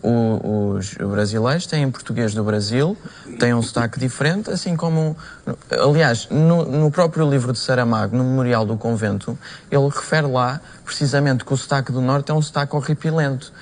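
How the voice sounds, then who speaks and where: A man's voice: medium pitch, normal speed. A man arguing his point earnestly as a guest in a televised debate. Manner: earnest, argumentative. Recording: TV clip with faint studio noise.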